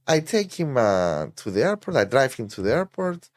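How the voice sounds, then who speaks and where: A man's voice: medium pitch, sing-song, dry recording. Man, middle-aged, hesitant speech with restarts, dry close sound. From Cyprus. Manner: halting, searching for words with many restarts. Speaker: hotel managing director, guest. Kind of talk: casual anecdotal storytelling.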